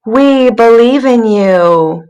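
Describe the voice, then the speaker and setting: Woman as a gentle, slow-talking storybook paintbrush. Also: a little high, lively intonation, highs cut off, pitched above the performer's own voice. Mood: supportive. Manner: gentle, reassuring. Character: kindly, reassuring paintbrush from a storybook. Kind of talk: children's read-aloud character voice.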